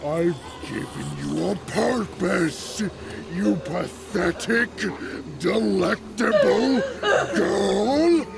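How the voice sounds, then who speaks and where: Gravelly, gloating demon spirit. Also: female voice, mid-range voice, sing-song, very noisy, heavily acted. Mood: gloating contempt. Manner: slow, taunting, theatrical. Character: cruel gloating female demon spirit feeding on misery. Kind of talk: audio drama acting.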